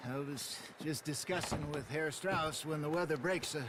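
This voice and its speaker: An actor playing a worried, thoughtful outlaw in a frontier camp in a video game. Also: male voice, fairly high pitch, moderate pace, natural voice. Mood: thoughtful, polite. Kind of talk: video game cutscene acting. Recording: clear background ambience noise.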